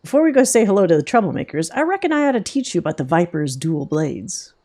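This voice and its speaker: A woman's voice: fairly low pitch, sing-song, fast talker, mellow. A woman playing a folksy, confident monster-hunter mentor. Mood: instructive. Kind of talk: reading game dialogue in character.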